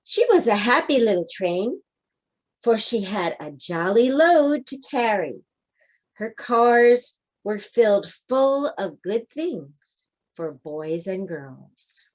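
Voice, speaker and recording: A woman's voice: mid-range voice, animated, slow pace, a little mellow. A woman reading a children's picture book aloud, expressively, speaking directly to young listeners. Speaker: storybook reader.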